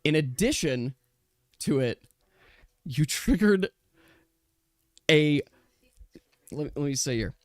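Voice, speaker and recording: A man's voice: on the high side, lively intonation, slow, pauses often, no echo, clean studio sound. A man speaking as game master, switching between casual rules talk and descriptive narration of a tabletop role-playing game.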